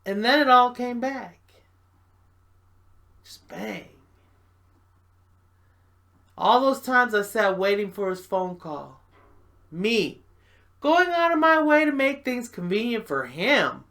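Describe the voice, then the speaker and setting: Woman acting a wounded, confiding woman, lively pitch. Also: takes it slowly, long pauses, full character voice. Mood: bitter, hurt. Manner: confiding, dramatic. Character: wounded, bitter woman recalling a former lover. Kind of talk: stage monologue performance.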